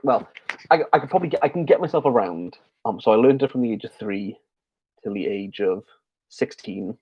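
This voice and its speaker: A man hosting a casual livestream Q&A about books, chatty and rambling with lots of fillers and restarts, a little tipsy. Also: medium pitch. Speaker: book channel host. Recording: slightly dull lo-fi stream audio.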